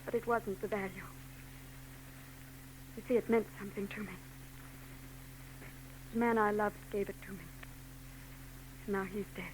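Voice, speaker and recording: A woman's voice: mid-range voice, fairly even intonation, normal speed, long pauses, a little hiss or hum, close to an everyday voice, poor audio. An actress playing a frightened, guarded young married woman in a 1940s radio drama. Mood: sad. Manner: tense, guarded.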